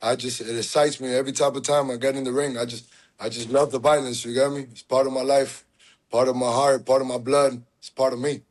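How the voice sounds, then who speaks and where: Man from Cuba, mid-pitched, fighter guest, humble, confident, relaxed talk. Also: fairly quick. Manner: humble, confident, often says "you get me" and "yes sir". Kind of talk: casual interview answers.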